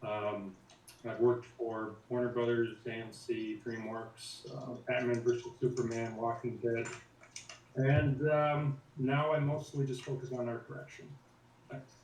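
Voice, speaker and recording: A former art director, a man, talks casually on a video call in a relaxed, plain way. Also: mid-range voice, measured pace, a little hiss or hum. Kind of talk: casual chat. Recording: roomy, distant video-call audio.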